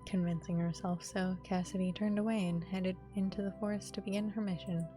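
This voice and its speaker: A woman game streamer with an informal, expressive manner, commenting casually and reading a visual novel's narration aloud. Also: mid-range voice, fairly quick. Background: game music.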